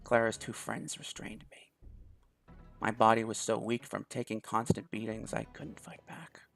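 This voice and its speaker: A man's voice: lowish voice, a little slow, creaky, fairly bright tone, faint music behind. A man reading a visual novel aloud in first person, with small restarts. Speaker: let's play host.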